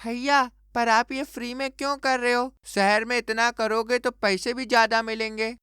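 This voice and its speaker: A man's voice: very high-pitched, little pitch movement, normal speed, bright, forceful tone, clean tone, no room sound, studio quality, pitched above the performer's own voice. A man voicing a poor, suspicious village man who questions in a plaintive, challenging way in a story cartoon. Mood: doubtful.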